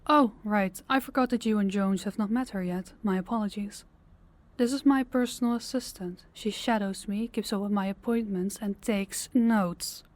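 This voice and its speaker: A woman's voice: mid-range voice, normal speed, lightly acted. A woman playing a composed, commanding crime boss in a scripted audio drama, businesslike and authoritative. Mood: businesslike, firm. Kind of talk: scripted audio roleplay acting.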